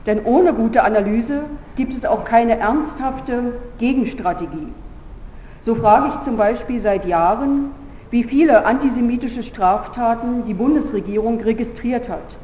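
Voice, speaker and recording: A woman from Berlin giving a formal, measured conference speech as a politician, earnest, with deliberate pauses. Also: a little high, mellow, a little hiss or hum. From Berlin, Germany. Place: large conference hall. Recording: echoey hall, dull sound, faint ambience.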